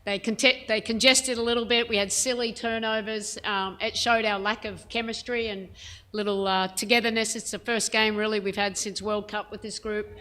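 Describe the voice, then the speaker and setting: A woman head coach answering reporters' questions at a press conference, frank and measured, with plenty of "um" and "you know". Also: medium pitch, level tone, bright, forceful tone. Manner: frank, measured, many fillers.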